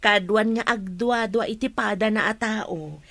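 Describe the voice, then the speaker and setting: A woman's voice: mid-range voice, measured pace, fairly bright tone, no echo. A woman playing an earnest, pious young woman with an Ilocano accent in a radio drama. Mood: earnest, defensive.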